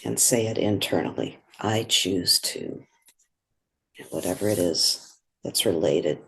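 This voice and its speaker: A meditation guide speaking in a gentle, soothing, unhurried way, giving slow instructions with long pauses. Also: deep voice, lively intonation, creaky, slight background noise.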